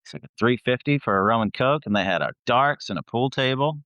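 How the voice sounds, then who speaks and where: A man's voice: medium pitch, expressive, brisk, a bit bright, no echo, muffled recording. A man who sings in a band, chatting as a guest and telling tour stories in a laid-back, slangy, sweary way. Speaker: band vocalist, interview guest.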